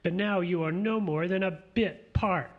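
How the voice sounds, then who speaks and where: A man's voice: sits high, moderate pace, highs cut off, natural voice. A man playing a smug, needling partner in a radio play, taunting in a theatrical way.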